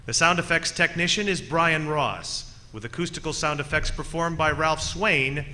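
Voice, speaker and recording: A man's voice: a little high, normal speed, pushed and bright. A man announcing the closing credits of a radio theatre production in a scripted sign-off style.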